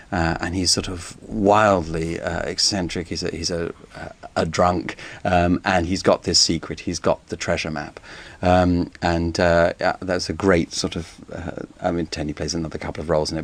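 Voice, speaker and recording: Deep-voiced man in a halting interview. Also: little pitch movement. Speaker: actor. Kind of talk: interview about a production.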